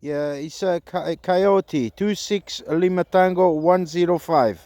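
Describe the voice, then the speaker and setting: A man making halting, repetitive radio calls and casual commentary during an outdoor antenna test. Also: sits high, darkish tone, a little hiss or hum. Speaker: amateur CB radio operator testing an antenna. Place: outdoors in a park.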